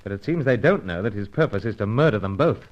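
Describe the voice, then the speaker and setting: A dull-sounding old radio recording with music behind a secret agent played by an actor. He is suave and brisk, with a lowish voice that swings expressively. His phrasing is clipped, probing and wry, as in an adventure serial. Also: lively intonation, natural voice.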